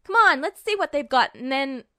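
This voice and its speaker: A woman's voice: high voice, lively intonation, normal speed, bright, forceful tone, no room sound, studio quality. A woman voicing a short-tempered, cocky teenage boy in a storybook reading. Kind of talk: fan fiction audiobook character voice.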